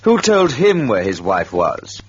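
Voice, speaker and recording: A man's voice: mid-range voice, huge pitch swings, a little slow, slightly rough, exaggerated voice. An actor playing an embittered, defensive man accused of murder, insistent and sardonic, in a radio drama. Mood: bitter, pressing. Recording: dull lo-fi radio recording.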